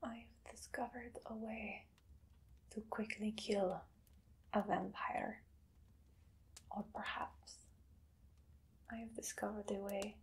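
Woman acting as menacing vampire hunter lady, slow creaky whisper, asmr roleplay, bit of noise. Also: mid-range voice, low-quality recording. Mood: quietly gloating. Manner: slow, hushed, deliberate, dramatic pauses. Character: female vampire hunter, gloating, menacing, obsessive experimenter. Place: small dim room indoors.

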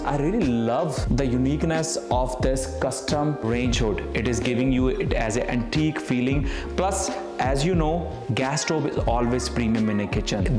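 A man giving an enthusiastic, emphatic property tour as a real estate agent. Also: mid-range voice. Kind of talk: property tour presentation to camera. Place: mostly inside a bright, sparsely furnished new house. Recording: echoey empty house, music behind.

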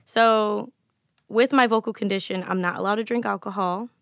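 A woman in her twenties, a singer, talking candidly in a casual podcast interview. Her voice is slightly raspy, and she talks in an animated way and laughs often. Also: mid-range voice, moderate pace, dry recording, studio quality. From United States. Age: 20s. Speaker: guest singer. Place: small studio with colored lights and a table. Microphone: studio mic on a desk stand.

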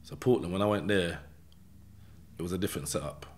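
A man's voice: low voice, a little fast, darkish tone, a little hiss or hum. A man with a London accent tells his own story casually in streetwise slang, often checking in with short tags like "yeah?". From London, England. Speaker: podcast guest telling his life story. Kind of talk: casual first-person storytelling.

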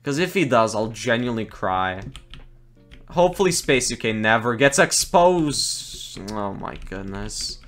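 A young man casually commentating his own game stream, excitable, laughing often and groaning at his fails. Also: medium pitch, a little slow, soft background music. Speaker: gaming streamer.

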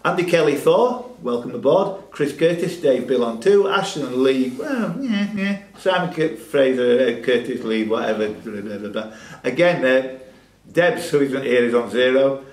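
A man's voice: mid-range voice, expressive, moderate pace. A man calling out players' names and reading the scores in a jokey, bantering way. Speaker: quiz scorer. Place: pub function room. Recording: echoey room.

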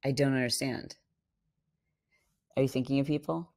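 A middle-aged woman co-hosting a casual podcast, her voice husky from a vocal cord injury, telling stories and acting out quotes. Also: rumbling low, moderate pace. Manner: animated storyteller, acts out quotes. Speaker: podcast co-host. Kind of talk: casual chat. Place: home studio. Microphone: studio mic on boom arm.

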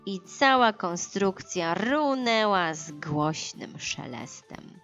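A woman's voice: mid-range voice, highs cut off, faint music behind. A woman narrating a scripted children's audiobook, telling the story expressively and without hurry. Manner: expressive, unhurried, dramatized for children.

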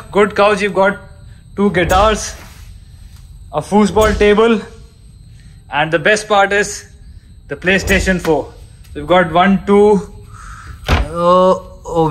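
A man, chatting casually and eagerly as he films a tour of a cargo ship at arm's length. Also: fairly high pitch. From India. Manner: chatty, enthusiastic, restarts and fillers. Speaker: seafarer vlogger giving a ship tour. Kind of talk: casual vlog tour talk. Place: aboard a cargo ship, outdoor decks and indoor day room. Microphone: handheld camera at arm's length.

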